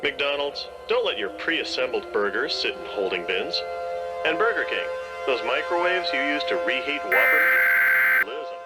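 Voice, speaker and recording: An actor playing a cheeky male fast-food mascot, speaking with a smug, playful delivery in a scripted commercial. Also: a little high, wildly swooping pitch, poor audio. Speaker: ad spokesman. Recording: commercial with loud music bed. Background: loud music.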